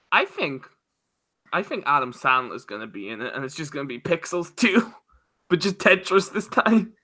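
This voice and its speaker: A man's voice: a little high, very animated, measured pace, sharp tone. A man co-hosting a podcast, chatting casually and joking around. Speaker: podcast co-host.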